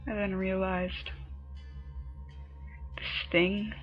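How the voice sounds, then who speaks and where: A woman narrating a scripted horror story in a dramatic manner, her phrases often dropping into a breathy hush. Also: medium pitch, level tone, drawn-out words. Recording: muffled lo-fi home recording, music behind. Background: background music.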